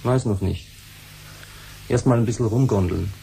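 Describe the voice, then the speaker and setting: An actor playing a sullen runaway son in a 1970s radio play, blunt and colloquial. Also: male voice, on the low side, moderate pace, vocal fry, dark tone. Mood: sullen, matter-of-fact. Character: sullen, runaway teenage son who loves music. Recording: faintly noisy 1970s radio recording.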